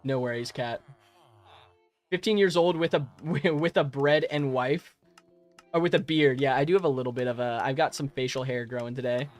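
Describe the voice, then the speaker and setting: A man's voice: medium pitch, normal speed, soft background music. A young adult man live streaming and chatting casually with viewers while he plays, friendly and rambling, with frequent laughs and restarts on a modest home microphone. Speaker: live streamer answering chat.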